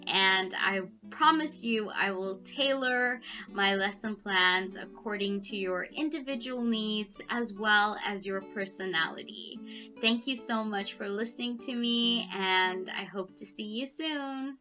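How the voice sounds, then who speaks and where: A woman's voice: mid-range voice, pushed and bright. A young woman, an online English tutor, introducing herself cheerfully into a headset mic in a small room at home. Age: young adult. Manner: cheerful, smiling, measured delivery. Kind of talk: self-introduction video. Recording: slightly dull lo-fi audio with faint guitar music.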